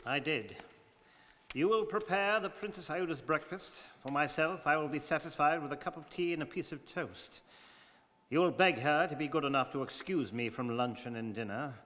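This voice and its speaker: A man playing a reserved, precise English gentleman in a radio drama, speaking formally and with stoic restraint. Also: on the high side, normal speed, lightly acted. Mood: curt, composed. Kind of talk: stage radio drama acting. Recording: very reverberant studio hall.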